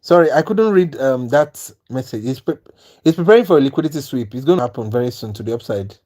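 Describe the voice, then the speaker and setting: A man's voice: medium pitch, lively intonation, quick pace, dark tone. A man from Nigeria with a Nigerian accent, hosting a trading livestream and talking earnestly and persuasively to viewers in the chat. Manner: earnest, persuasive, repeats "you understand?". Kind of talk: live-stream talk to chat viewers.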